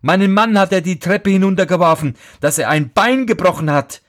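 A man voicing a frantic sexton's wife who shouts her complaint in a storybook fairy tale. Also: sits high, a little fast, voice pushed up, close to an everyday voice. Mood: agitated.

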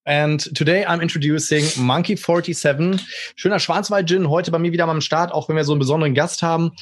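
German guy hosting a podcast, rattles along fast, fillers everywhere. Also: fairly high pitch, steady tone, slight background noise. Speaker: podcast host. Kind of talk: casual bilingual podcast hosting.

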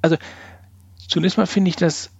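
A man's voice: high voice, flat delivery, normal speed, creaky, husky. A middle-aged man, talking in a discursive, wry way as a guest in a podcast interview. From northern Germany, near Hamburg. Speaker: podcast guest, organisational development consultant. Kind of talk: reflective conversational interview. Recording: dull, roomy lo-fi sound.

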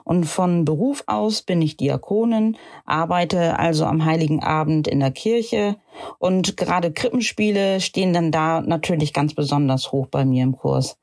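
A woman from Cuxhaven, a church deacon, giving a measured, reflective account of her work. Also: low-pitched, darkish tone. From Cuxhaven, Germany. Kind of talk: personal account.

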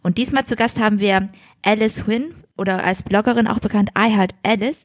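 A woman's voice: mid-range voice, brisk, no room sound, studio quality. A woman co-hosting a podcast in casual, colloquial talk. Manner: informal, colloquial. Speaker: podcast co-host.

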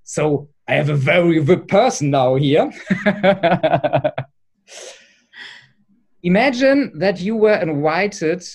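A man hosting an interview, speaking English as a German native, in halting phrases with hesitant restarts. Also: a little high. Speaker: podcast host, interviewer. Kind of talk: interview questions.

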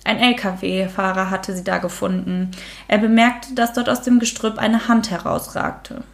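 A woman's voice: mid-range voice, steady tone, a little slow, gravelly. A woman hosting a true crime podcast, mixing casual chat with many fillers and a read-out case narration. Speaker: podcast host.

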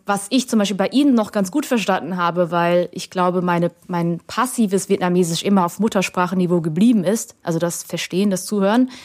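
A woman in her thirties who grew up in Germany, answering an interview question in a reflective way, pausing often with fillers and restarting her sentences. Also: mid-range voice, a little mellow. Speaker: guest.

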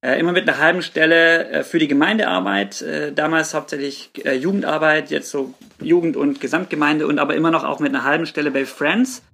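A man's voice: a little high, fairly quick, creaky, few pauses, pushed and bright. A man in his late thirties from Swabia in southern Germany, chatting casually as a podcast guest. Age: late 30s. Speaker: podcast guest, youth church worker. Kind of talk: casual chat.

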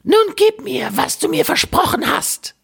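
A man voicing a small, sly, bargaining little gnome in a slow, squeaky, creaky falsetto for a fairy tale. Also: big pitch swings, exaggerated voice. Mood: demanding. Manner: slow, exaggerated, swooping.

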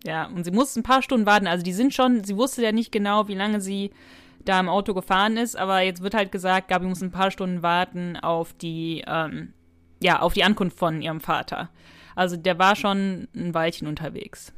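A woman with a slightly low voice speaks as a podcast co-host. Her talk is casual and conversational, recorded dry with no room sound. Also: fairly even intonation, normal speed, a bit bright, dry recording.

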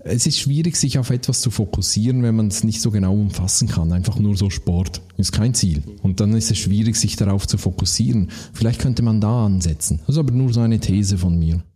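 Swiss guy guesting on a podcast, lowish husky voice, laid back and thoughtful. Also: brisk, few pauses. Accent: Swiss German. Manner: relaxed, reflective, laughs at himself. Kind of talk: conversational podcast interview.

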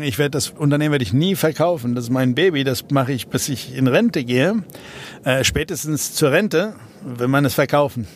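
A man's voice: medium pitch, a little hiss or hum. A man from South Africa, an entrepreneur guest in an interview, talking casually with many fillers and restarts.

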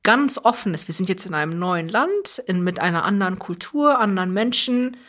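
A young woman speaks as a guest in a reflective conversation. Her voice sits in a middle pitch, and her delivery is earnest and thoughtful. She sometimes restarts a phrase mid-sentence while she works out her point. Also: animated, brisk, a bit bright. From Germany. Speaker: interview guest.

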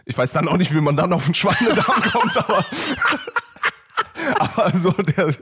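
A man in his late twenties co-hosting a casual podcast chat, joking, laughing often and filling pauses with hesitations. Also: high-pitched, animated, very noisy. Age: late 20s. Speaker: podcast co-host.

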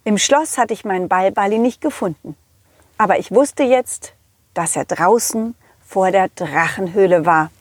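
A woman voicing a confident, loyal, kindly dog who tells his adventures to children in a chatty, halting, empathetic way. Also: mid-range voice, no echo, clean studio sound, lightly acted. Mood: earnest, kindly. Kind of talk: children's audio play storytelling.

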